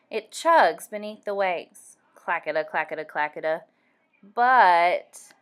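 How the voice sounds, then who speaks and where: A woman reading a picture book aloud for young children, animated and deliberate, acting out the sound effects. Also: medium pitch. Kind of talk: expressive read-aloud storytelling for young children.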